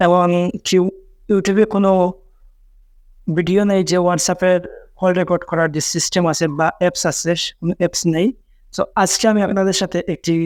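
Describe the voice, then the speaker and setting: A man's voice: high voice, clean tone, no echo, studio quality. A man narrating a how-to video as a tech host, in repetitive, instructional step-by-step phrasing.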